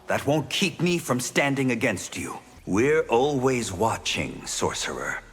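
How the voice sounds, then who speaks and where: An actor playing an earnest, defiant young hero in a video game, with a husky voice. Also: male voice, mid-range voice, sing-song, a little slow. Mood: defiant, resolute. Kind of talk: dramatic video game acting. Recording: long hall reverb, music underneath.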